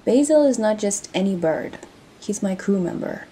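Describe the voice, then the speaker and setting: A woman's voice: medium pitch, expressive, normal speed, mellow, a little hiss or hum, lightly acted. A woman playing a bold, teasing pirate captain in an audio roleplay, speaking in a cocky, flirtatious manner. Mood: confiding, proud. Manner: cocky, flirtatious, addressing listener with pet names.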